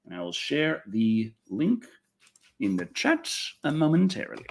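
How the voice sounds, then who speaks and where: A man hosting a livestream and reviewing films in casual, excitable, rambling chat, repeating himself and swearing freely, with a husky voice. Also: fairly high pitch, a little slow. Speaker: livestream host and film reviewer.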